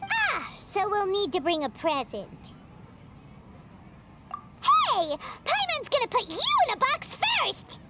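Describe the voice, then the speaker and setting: A woman voicing a tiny, excitable female companion who talks about herself in the third person, in a video game. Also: very high-pitched, slow pace, long pauses, heavy background noise, exaggerated voice. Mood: excited. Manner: refers to herself in the third person, bouncy and eager. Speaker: game character.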